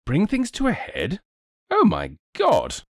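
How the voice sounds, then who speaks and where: A man voicing a bewildered, excitable English nobleman with an agitated, spluttering manner in an audiobook story. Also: lowish voice, moderate pace, no echo, studio quality. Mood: shocked, bewildered.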